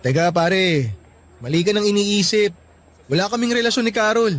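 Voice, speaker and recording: A Filipino actor playing a friendly, protective young male office worker in a radio drama, expressive and righteous. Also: high-pitched, moderate pace, close to an everyday voice. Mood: tense, challenging.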